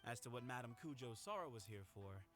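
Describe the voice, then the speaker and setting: An actor playing a polite, matter-of-fact festival official in a video game. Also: male voice, mid-range voice, expressive, fairly quick, a bit bright, faint music behind, natural voice. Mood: apologetic.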